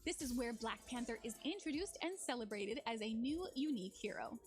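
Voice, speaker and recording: A woman's voice: a little high, lively intonation, brisk. A woman giving scripted promotional narration as a game developer presenting new features. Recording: music under the voice. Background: music.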